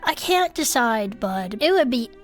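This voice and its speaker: A woman's voice: on the high side, very animated, measured pace, faint music behind. A woman playing a folksy, mild-mannered cryptid enthusiast who co-hosts a comedic audio-fiction show with earnest, agreeable banter. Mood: earnest, musing. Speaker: co-host.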